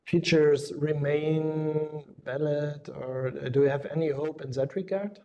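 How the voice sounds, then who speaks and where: A man moderating an informal conference discussion, putting questions tentatively with pauses along the way. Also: fairly high pitch, steady tone, darkish tone, lo-fi sound. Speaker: session moderator.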